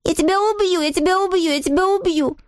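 Storybook role: woman as a stubborn small girl, high-pitched, indignant, shouting. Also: quick pace, dry recording, clean studio sound. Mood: enraged. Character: shrill, stubborn, furious little girl.

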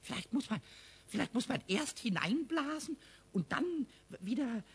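Tiny whiny kobold for a kids radio play, super scratchy squeak, stammers and swoops up and down. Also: male voice, unhurried, exaggerated voice. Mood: curious, scheming. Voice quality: shrill, squeaky, raspy. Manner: stammering, repeating words excitedly. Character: cheeky, whiny little male kobold, childlike. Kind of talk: 1970s children's radio play acting.

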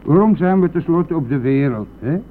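A man's voice: on the high side, lively intonation, normal speed, natural voice. An actor playing a chatty, coarse, self-pitying, hard-drinking bus driver who needles his passenger in a colloquial radio-drama style. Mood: boisterous. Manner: garrulous, jovial, needling, colloquial. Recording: tinny, band-limited, noisy old radio-play recording.

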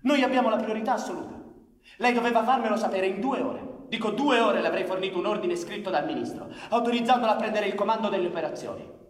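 An actor playing a domineering, sarcastic senior police official in a stage drama, speaking in a pompous, emphatic, oratorical way. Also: male voice, high voice, fast talker, natural voice. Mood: irritated, commanding. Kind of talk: live theatre acting in Italian. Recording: echoey theatre hall from far off.

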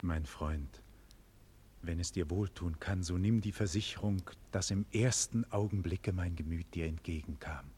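An actor playing a dignified condemned nobleman in a 1940s German radio play, declaiming solemnly with breathy, husky murmurs and loud cries. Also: low voice, a little slow, slight background noise. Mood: urgent, pleading. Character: doomed nobleman facing execution, dignified, passionate, grave. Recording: vintage 1940s radio studio recording.

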